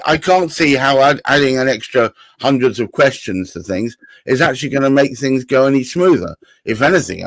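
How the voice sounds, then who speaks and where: A man hosts a live stream, chatting casually and with playful asides. His voice is a touch raspy as he gets over a cold, and it sounds close and dry, with little room around it. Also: mid-range voice, no echo. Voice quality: slightly raspy. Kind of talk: casual podcast chat.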